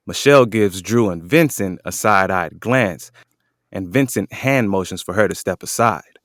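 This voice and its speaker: A man's voice: on the low side, fairly bright tone, dry recording, clean studio sound. A man giving scripted, descriptive narration as the narrator of an audio drama.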